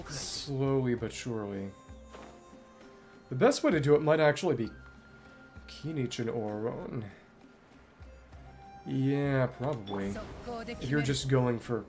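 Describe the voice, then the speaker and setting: A man giving casual solo commentary as a game streamer, musing aloud in short, halting remarks. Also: medium pitch.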